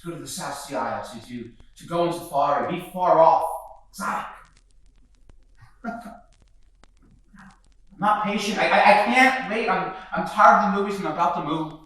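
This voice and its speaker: A man's voice: on the high side, normal speed, long pauses, natural voice. An actor playing a restless young adult son, wry and jittery, who stumbles over his words. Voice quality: wry, jittery, stammering restarts. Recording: echoey roomy sound from far off.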